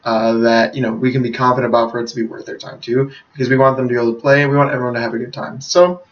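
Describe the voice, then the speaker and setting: An American man co-hosting a podcast, making casual announcements and explaining things in a measured way. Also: medium pitch, fairly even intonation. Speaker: podcast co-host. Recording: muffled, slightly distant microphone.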